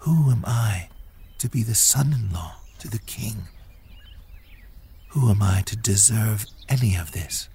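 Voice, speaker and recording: An actor playing a humble, devout young warrior in a dramatized Bible story, earnest, soft-spoken and deferential. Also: male voice, medium pitch, animated, normal speed, slightly rough. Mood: hushed prayer.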